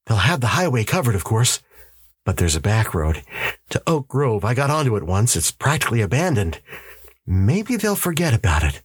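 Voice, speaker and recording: A man's voice: mid-range voice, very animated, moderate pace, breathy edge, no echo, clean studio sound, voice pushed up. A man voicing an urgent, commanding husband in a story, insistent and barking orders as if hunted. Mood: grim, hurried. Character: urgent, commanding husband convinced of alien invasion.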